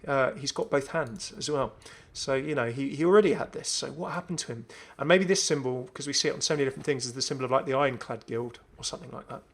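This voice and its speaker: A man's voice: mid-range voice, fast talker, hardly any pauses. A man hosting a gaming video, commenting casually and enthusiastically as he thinks aloud. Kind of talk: casual game update commentary.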